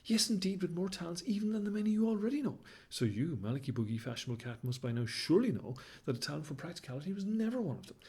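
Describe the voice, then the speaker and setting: A man putting on a flamboyant mad baboon, husky and high. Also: sing-song, racing, hardly any pauses, darkish tone, heavily acted. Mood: boastful. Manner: pompous, sing-song rhyming, fussy and dramatic. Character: flamboyant fashion-mad male baboon, grandiose and theatrical. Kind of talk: storybook character voice.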